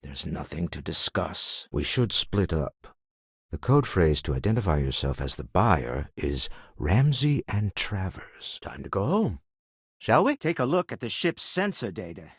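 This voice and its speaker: An actor playing a shrewd, proud older financier of space exploration in a video game, speaking in a dry, cultured, theatrical and measured way. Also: male voice, sits low, sing-song, husky, dry recording, exaggerated voice. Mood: dry, composed. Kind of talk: video game character dialogue.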